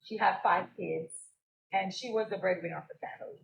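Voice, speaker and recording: A woman's voice: medium pitch, fairly quick, creaky, roomy sound, highs cut off. A young woman from Jamaica, a business co-owner and guest on a live podcast, telling her story and laughing often. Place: stage at a live event.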